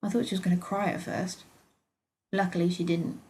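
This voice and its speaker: A woman with a British accent reading her own story aloud as an expressive first-person narrator. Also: fairly low pitch, moderate pace.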